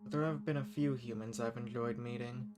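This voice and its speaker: A man's voice: mid-range voice, steady tone, a little fast, over loud music, close to an everyday voice. A man voicing an ancient banished villain in an intimate audio roleplay, flirtatious and condescending, with smug, formal, teasing phrasing. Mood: curious, wistful.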